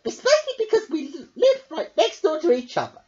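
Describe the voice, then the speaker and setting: A storybook reader voicing an eager, chatty, needy duck with an excitable, pleading manner. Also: very high-pitched, fairly quick, voice pushed up. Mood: delighted. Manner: excitable, pleading, swooping exclamations. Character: eager, chatty, needy cartoon duck, pestering best friend. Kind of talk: picture book read-aloud character voice. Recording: dull lo-fi home recording.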